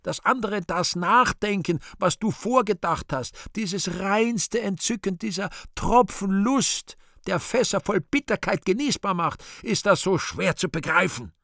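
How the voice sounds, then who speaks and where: An actor playing a brooding, vain, scheming devil who mutters to himself and breaks into exclamations in a theatrical radio play monologue, with a raspy, gravelly voice. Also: male voice, high voice, animated, unhurried, few pauses, a bit bright, dry recording, clean studio sound, full character voice. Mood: impassioned.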